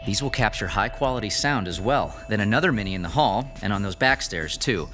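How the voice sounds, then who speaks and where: A man's voice: lowish voice, animated, brisk, fairly bright tone, soft background music. An actor playing a flippant young ghost-hunting tech guy with a casual, wisecracking manner in a radio horror drama. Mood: upbeat, businesslike. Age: young adult.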